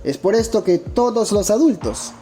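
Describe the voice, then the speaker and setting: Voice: mid-range voice, expressive, normal speed, warm tone. A narrator giving a scripted documentary-style account with a dramatic, opinionated storytelling manner. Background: background music.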